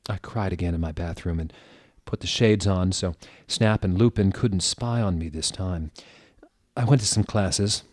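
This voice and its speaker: A man's voice: deep voice, normal speed, darkish tone, no echo, clean studio sound. A man doing a mock-dramatic comedic reading of fan fiction, theatrical and sardonic, breaking off for asides. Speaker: reader.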